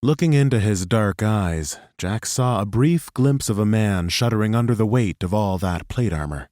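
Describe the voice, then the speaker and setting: A man reads a fantasy audiobook in a steady, even storytelling manner. His voice sits low, and the studio sound is dry and close. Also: normal speed, no echo. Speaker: audiobook narrator. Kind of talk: scripted fantasy narration.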